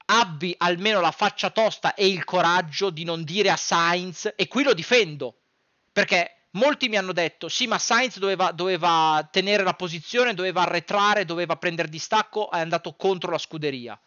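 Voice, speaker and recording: A man's voice: sits high, normal speed, pushed and bright, dry recording, lo-fi sound. A man delivers heated, exasperated, foul-mouthed commentary to camera, hammering home points by repeating phrases. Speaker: sports content creator. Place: small dim room at home.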